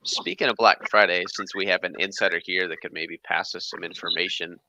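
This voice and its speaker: A very deep-voiced man hosts a casual livestream, upbeat and full of easy praise. His voice is gravelly yet bright, close and dry, on a dull, lo-fi recording. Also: steady tone, a little fast, dry recording. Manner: upbeat, says awesome a lot. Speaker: host. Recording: dull lo-fi sound.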